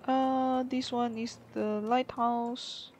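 Slow-paced woman commenting on a game. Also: on the high side, little pitch movement, darkish tone, faint music behind. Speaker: gaming video player. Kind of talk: casual gameplay commentary, thinking aloud.

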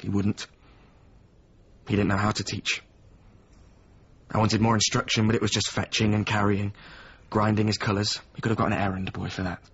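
An actor playing a weary, resentful former apprentice of a painter in a naturalistic radio drama, with a husky voice. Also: male voice, on the low side, level tone, moderate pace, pauses often, fairly bright tone. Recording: slightly muffled radio drama recording.

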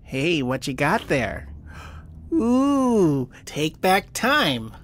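A woman's voice: low-pitched, sing-song, extremely slow, full character voice. A woman acting in an audio drama as an easygoing, protective comic book store manager, friendly and teasing. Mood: warm.

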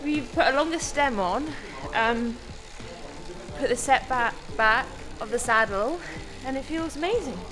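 A bubbly young woman with a slightly high voice chats and jokes at a relaxed pace. She is filmed up close by a handheld camera in an indoor room. Clear background music plays under her. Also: unhurried, poor audio. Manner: bubbly, jokey, laughs often. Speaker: pro mountain biker. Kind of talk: casual behind-the-scenes chat. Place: indoor hotel room during bike fitting.

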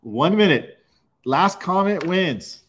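A man's voice: high-pitched, expressive, slow pace, fairly bright tone, breathy edge. Middle-aged guy hosting a live stream from a car shop, easygoing, says dude a lot. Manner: loose and upbeat, calls people dude. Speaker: webinar host. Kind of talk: casual live-stream chat. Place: indoor auto repair shop.

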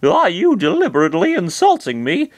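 A man's voice: high-pitched, wildly swooping pitch, fairly quick, no echo, pitched above the performer's own voice. A man voicing a nervous young man, stammering and hesitant. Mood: anxious.

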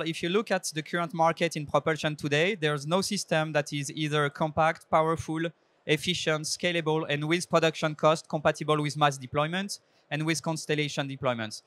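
A man in his thirties pitches his company from a trade show stage. His voice sits slightly high for a man and sounds dry and close on a handheld mic. He talks earnestly and steadily, with small fillers and restarts. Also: a bit bright, dry recording. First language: French. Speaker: startup CEO pitching. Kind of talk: startup pitch presentation. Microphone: handheld microphone.

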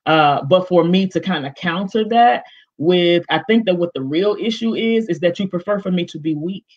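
A woman's voice: fairly low pitch, moderate pace, husky. Big-voiced woman on a dull call. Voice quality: big expressive voice. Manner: emphatic, direct, many fillers and restarts. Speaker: panelist. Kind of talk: panel discussion on video call. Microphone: video call. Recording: dull lo-fi video call audio.

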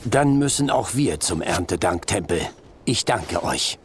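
Low-voiced, stern wise master. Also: male voice, lively intonation, unhurried. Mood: resolute. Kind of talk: video game cutscene acting. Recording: game soundtrack with clear background noise.

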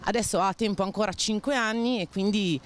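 A woman's voice: medium pitch, fairly quick. A woman, answering a street interview outdoors, earnest and emphatic with some hesitant fillers. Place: sunny town square outdoors. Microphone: handheld interviewer mic.

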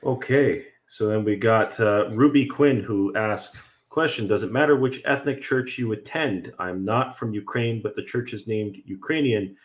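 A young Canadian man with a deep, low voice talks through viewer questions on a livestream. He speaks as a priest, earnest and explanatory, with some fillers and restarts. He is heard through a webcam from a room at home. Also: normal speed, few pauses. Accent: Canadian English. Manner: earnest, explanatory, with frequent fillers and light laughs. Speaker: Orthodox priest hosting a livestream. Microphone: video call webcam.

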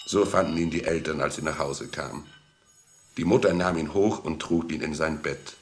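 A man's voice: rumbling low, fairly even intonation, normal speed, faint music behind. A man narrating a children's story as the narrator of a 1960s radio play.

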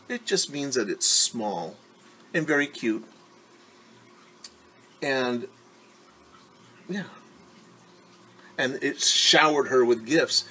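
Middle-aged man teaching storytime, lively pitch. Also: a little hiss or hum. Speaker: teacher reading a picture book aloud. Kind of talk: storytime read-aloud with simple explanations for children. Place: living room at home.

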